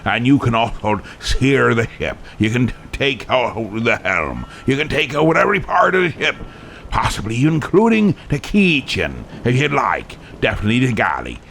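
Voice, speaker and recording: A male radio host hosts a request show in playful pirate speech. His voice is gravelly and bright, and it rises and falls in lively swings. Faint noise sits behind him. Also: mid-range voice, moderate pace.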